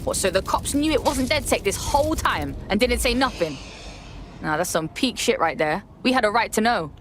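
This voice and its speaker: An actress playing a streetwise young London hacker activist in a game, with a slangy, blunt, casual delivery. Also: female voice, fairly high pitch, animated, moderate pace, faint music behind, close to an everyday voice. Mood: indignant, brash. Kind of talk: game voice acting. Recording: clear background vehicle noise.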